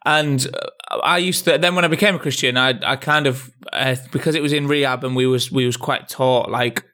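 A man in casual podcast talk tells a story with a rambling, restarting flow and laughs often. His voice sits at an ordinary middle pitch. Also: fairly even intonation, moderate pace, pushed and bright. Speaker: podcast co-host. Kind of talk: casual chat.

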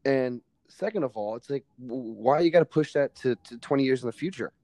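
A man's voice: mid-range voice, brisk, dark tone, no room sound. A young man in casual podcast conversation, talking emphatically with plenty of fillers and casual swearing. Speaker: podcast guest. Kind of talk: casual chat.

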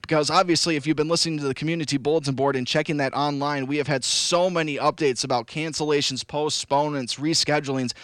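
A man hosting a local radio call-in show, his voice hoarse and raspy from losing it, upbeat and repeating phone numbers slowly. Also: fairly high pitch, fairly even intonation, fairly bright tone, dry recording. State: hoarse, lost voice. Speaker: radio host. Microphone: studio broadcast mic.

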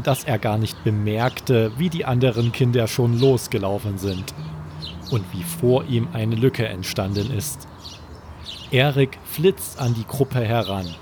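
A man narrating a scripted children's bedtime story in a measured, deliberate manner. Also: fairly low pitch, darkish tone, slight background noise.

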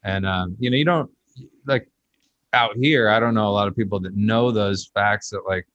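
A man's voice: on the low side, moderate pace, a bit bright, dry recording, highs cut off. A man hosting a casual conversation, telling stories in a chatty way with fillers.

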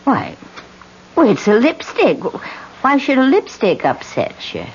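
A woman's voice: high voice, huge pitch swings, a little slow, a little hiss or hum, heavily acted. An actress playing a nosy, motherly spinster boarder with a fussy, insistent way of talking in a 1970s radio drama. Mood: curious. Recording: dull lo-fi old radio broadcast.

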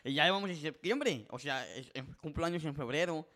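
Rambling twenty-something host. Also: male voice, a little high, moderate pace, sharp tone. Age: 20s. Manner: rambling storyteller, many filler words, switches between Spanish and English. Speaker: podcast host. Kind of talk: casual bilingual chat.

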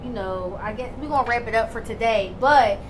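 A woman's voice: a little high, a little fast, noisy background. A woman in her thirties co-hosting a podcast, chatting bluntly and repeating her points. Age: 30s. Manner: blunt, animated, repeats herself. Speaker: podcast co-host. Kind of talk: casual chat.

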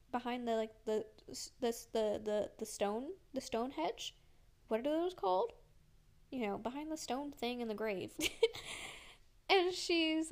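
A young woman with a somewhat high voice chats in a loose, rambling way, dropping "like" into nearly every phrase. Her nose is stuffed up, which gives her voice a congested sound. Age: young adult. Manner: rambling, full of "like", laughs often. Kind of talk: casual vlog chat.

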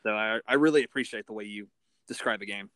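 A man interviewing a guest in a casual, halting way, as a sports fan. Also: on the low side, fairly bright tone, smooth, dry recording.

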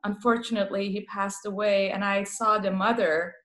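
A woman's voice: mid-range voice, steady tone, normal speed, a bit bright, echoey room. A woman from Iran with a Persian accent, speaking as a palliative care guest and telling stories with laughs. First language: Farsi. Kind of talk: casual interview talk.

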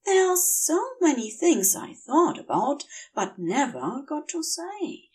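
A woman's voice: high-pitched, big pitch swings, slow, a little mellow, recorded from a distance, voice pushed up, heavily acted. A woman voicing a prim, dazed male angel in an audiobook, speaking with polite, rambling earnestness and longing. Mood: hurried confessing.

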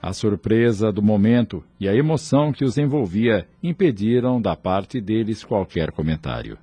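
A man narrates a radio drama with solemn formality. His voice is fairly low and dark, reading a scripted story over a faint bed of music. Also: animated, normal speed, husky, no echo.

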